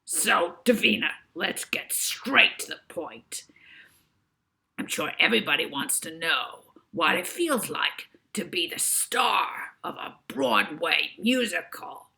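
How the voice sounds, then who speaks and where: Woman putting on a gravelly, booming male chat-show host. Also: animated, sharp tone, a little hiss or hum, exaggerated voice, rough recording. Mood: effusive. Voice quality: very deep, gravelly. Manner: booming, drawn-out showman delivery.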